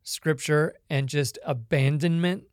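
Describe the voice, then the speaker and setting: A Canadian man, a podcast guest, telling his life story in a relaxed, reflective way with many small pauses. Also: fairly high pitch, no room sound, clean studio sound. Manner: reflective storytelling with frequent ums. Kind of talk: conversational interview.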